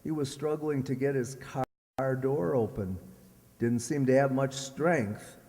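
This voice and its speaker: An actor playing a vain, jealous, hesitant husband in an old-style radio comedy. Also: male voice, mid-range voice, steady tone, measured pace, mellow, natural voice. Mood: defensive. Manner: boastful, hesitant, stammering. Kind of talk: old radio sitcom acting. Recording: long hall reverb.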